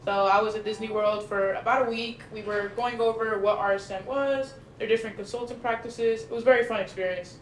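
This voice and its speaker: Young woman from Colombia, laid-back and friendly, slightly high, echoey room. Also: fairly even intonation, a little fast, hardly any pauses. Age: young adult. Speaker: student podcast guest. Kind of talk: casual podcast chat. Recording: echoey room, faint noise.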